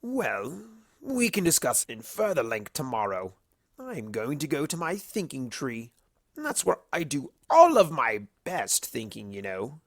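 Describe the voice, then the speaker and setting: A narrator acts out a mischievous male spirit of chaos from a cartoon. The voice is husky and swings wildly in pitch, with a smug, theatrical, scheming delivery. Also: wildly swooping pitch, unhurried, dry recording, studio quality, heavily acted. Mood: dismissive, musing.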